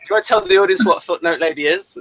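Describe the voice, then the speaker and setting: A man's voice: sits high, rapid-fire, fairly bright tone, poor audio. A man hosting a casual interview, rambling and chatty and addressing viewers as folks. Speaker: host and playwright.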